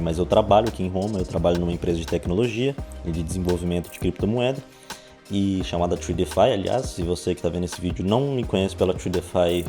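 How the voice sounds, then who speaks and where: A man's voice: deep voice, mellow. A man in his twenties with a Brazilian Portuguese accent, telling his experiences in a casual, conversational vlog style. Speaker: vlogger. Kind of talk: casual storytelling to camera. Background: background music.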